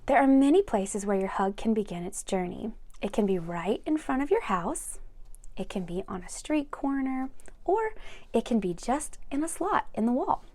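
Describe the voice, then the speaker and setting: A woman with a slightly high voice reads a picture book aloud for young children. She is expressive and speaks to her listeners directly, adding chatty asides as she goes. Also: moderate pace. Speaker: read-aloud storyteller for children.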